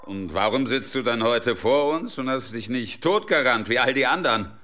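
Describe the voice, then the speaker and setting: A man's voice: medium pitch, expressive, normal speed, heavy background noise, close to an everyday voice. A man in a German radio play acting a menacing leader of ruthless intruders, sarcastic and scornful. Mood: impatient scorn.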